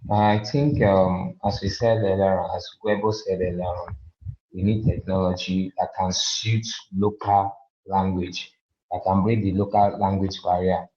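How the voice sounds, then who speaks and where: African guy on a call, very low flat dark voice, hesitant, kinda muffled audio. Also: measured pace. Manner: hesitant, many fillers and restarts. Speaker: panel guest. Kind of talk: panel remarks.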